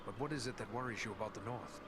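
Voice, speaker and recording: An actor playing a steadfast, curious human ranger in a video game, speaking formally and earnestly. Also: male voice, lowish voice, quick pace, over loud music, natural voice. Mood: curious, serious. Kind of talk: video game cutscene dialogue.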